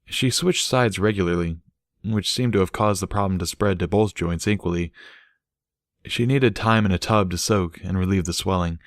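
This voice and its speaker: A man's voice: low-pitched, moderate pace, dry recording, studio quality. A man reading scripted fiction as a storybook narrator.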